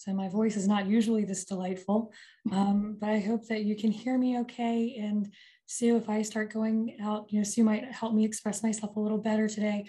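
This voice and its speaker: Hoarse woman with laryngitis, mellow tone. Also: mid-range voice, flat delivery, normal speed. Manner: apologetic, careful. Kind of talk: webinar presentation.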